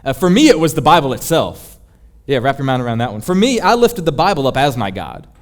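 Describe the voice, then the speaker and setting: A man's voice: mid-range voice, sing-song, brisk. A man preaching a sermon in an emphatic, rhetorical and confessional manner. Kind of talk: sermon, teaching.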